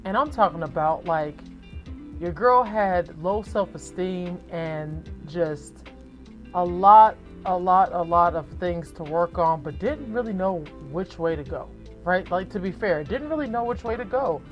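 Deep-voiced woman podcaster talking frankly. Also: unhurried, lo-fi sound, faint music behind. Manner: frank and conversational, with profanity and rhetorical asides. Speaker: podcast host. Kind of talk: casual solo podcast monologue.

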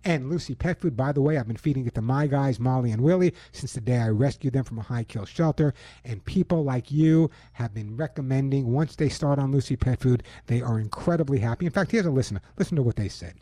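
A man's voice: mid-range voice, fairly quick, hardly any pauses, warm tone, no room sound. Man, radio pet show host, self-assured lecturing tone, close dry studio mic. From Long Island, New York. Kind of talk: call-in radio advice and live reads. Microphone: studio microphone.

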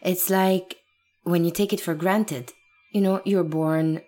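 A woman's voice: on the low side, steady tone, slow, warm tone. A woman in her thirties from Lebanon, an actress talking earnestly and emphatically as an interview guest. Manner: earnest, emphatic, laughs now and then. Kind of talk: reflective interview talk.

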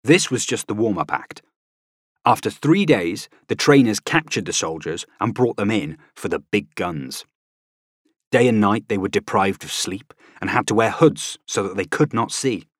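A man's voice: lowish voice, expressive, moderate pace, breathy edge, no echo, clean studio sound. A man reading scripted nonfiction as an audiobook narrator, with a dramatic, emphatic delivery.

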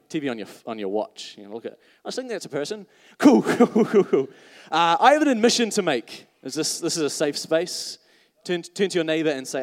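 A man preaching a live sermon to a congregation, chatty and full of self-mocking jokes. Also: fairly high pitch. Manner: chatty, joking, self-mocking asides to the crowd. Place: church hall before a congregation. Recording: reverberant hall.

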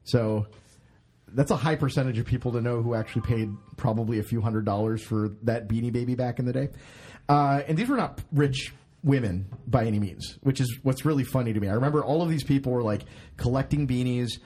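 A man's voice: mid-range voice, fairly quick, mellow, slightly rough. A man in his thirties hosting a podcast in casual chat, rambling, swearing and laughing at himself. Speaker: podcast host.

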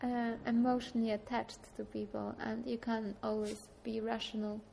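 A woman's voice: on the high side, level tone, moderate pace, vocal fry, mellow. A young woman from Poland with a Polish accent tinged with British, chatting casually with thoughtful, rambling restarts. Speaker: guest. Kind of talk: casual chat. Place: train station. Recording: noisy train station ambience.

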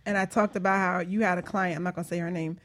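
A woman hosting a talk show in casual, informal chat with animated reactions. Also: fairly low pitch, fast.

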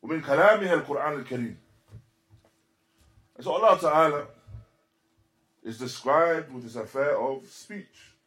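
A man giving a religious lecture as a teacher, speaking deliberately and emphatically in short, repeated phrases and switching into Arabic quotations. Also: fairly high pitch, expressive, a bit bright. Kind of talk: religious lecture explaining a text.

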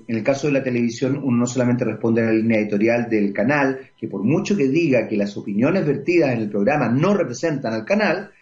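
A Chilean man hosting a radio talk show, giving commentary and interviewing a guest. He pauses often with drawn-out fillers. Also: mid-range voice, darkish tone. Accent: Chilean Spanish. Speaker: radio host. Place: radio studio. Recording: dull lo-fi radio broadcast.

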